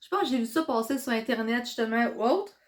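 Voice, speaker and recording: A young woman with a Quebec French accent, chatting excitedly in a casual vlog while congested from a cold. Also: on the high side, quick pace. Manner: chatty and excitable, many fillers. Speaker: vlogger.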